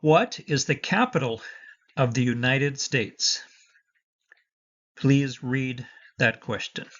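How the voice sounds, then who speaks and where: A man's voice: medium pitch, very slow, a bit bright, lo-fi sound. A man teaching a lesson for the citizenship test, dictating patiently and switching between Spanish and English.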